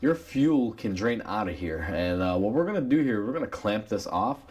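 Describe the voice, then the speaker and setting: A man with a cold and a raspy voice, talking casually through a repair step by step with plenty of fillers. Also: fairly low pitch, normal speed. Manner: relaxed, rambling with many uh fillers. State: has a cold. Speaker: mechanic demonstrating a repair. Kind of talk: casual how-to tutorial narration.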